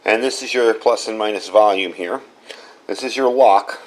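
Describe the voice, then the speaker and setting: A man's voice: on the low side, moderate pace, low-quality recording. A man hosting a casual hands-on product review, talking in a folksy, plain-spoken way and repeating himself for emphasis. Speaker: product reviewer and channel host.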